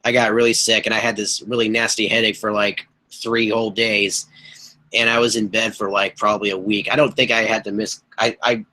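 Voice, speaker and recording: A young man hosting a casual live chat on a webcam, hoarse and stuffy from a cold, rambling with fillers and clearing his throat. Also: fairly low pitch, level tone, brisk, bright, forceful tone, low-quality recording. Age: young adult. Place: small bedroom with posters on the walls. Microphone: webcam mic at desk distance.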